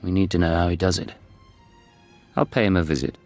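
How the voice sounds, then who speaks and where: Male actor as calculating spy, very low voice, controlled, understated, game dialogue, music underneath. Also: fairly even intonation, brisk, darkish tone, close to an everyday voice. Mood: calm, businesslike. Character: cool, calculating male imperial secret agent. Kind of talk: video game dialogue acting.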